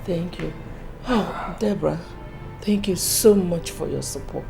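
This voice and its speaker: An actress playing a gentle middle-aged mother, soothing her grieving daughter. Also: lowish voice, unhurried, gravelly, over music. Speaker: consoling mother.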